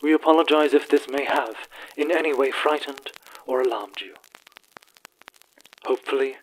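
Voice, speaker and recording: An actor plays an earnest evening news anchor in a radio drama. The phrasing is formal and theatrical, delivered in measured announcer fashion at a medium pitch. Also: slight background noise, lightly acted, rough recording. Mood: calm, apologetic.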